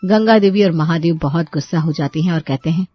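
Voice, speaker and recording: A woman's voice: low voice, a little fast, highs cut off, faint music behind. A woman narrating a scripted story as its storyteller.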